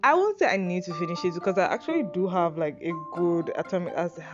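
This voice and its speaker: Young nigerian woman rambling and swearing, lowish voice, dull lo-fi sound with music under it. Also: sing-song, fast. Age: young adult. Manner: rambling, self-deprecating, frequent fillers and swearing. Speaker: podcast host. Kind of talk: casual monologue reacting to an advice list.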